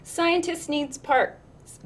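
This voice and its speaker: A woman's voice: high-pitched, steady tone, extremely slow, voice pushed up. A woman voicing eager young schoolchildren in a storybook, pleading and chanting slogans.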